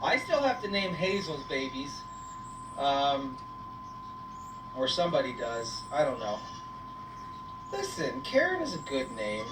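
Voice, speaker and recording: A woman's voice: very deep voice, huge pitch swings, unhurried, pauses often. A woman hosting a casual livestream on a goat farm, playfully teasing and chatting to viewers and animals. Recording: echoey, noisy farm livestream, distant mic.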